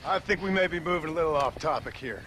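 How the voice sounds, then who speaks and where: A man's voice: fairly high pitch, animated, fast, fairly bright tone, a little hiss or hum. A man voicing a frontier gunslinger who speaks with a dry, wry drawl. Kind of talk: video game cutscene acting.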